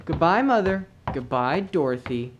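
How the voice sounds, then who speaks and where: A cheeky young schoolboy, played by a woman in a radio play. He is pert and bumptious, with a scornful edge. The delivery is very animated, swinging up and down in pitch. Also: normal speed, muffled recording, a little hiss or hum. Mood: boastful. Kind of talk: scripted radio play acting.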